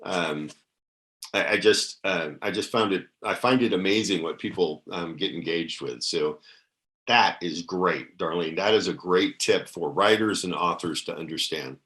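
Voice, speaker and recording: A man hosts an interview podcast in a somewhat low voice. He keeps affirming and encouraging his guest, often stopping on hesitant fillers as he goes. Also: a little slow, fairly bright tone. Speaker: podcast host.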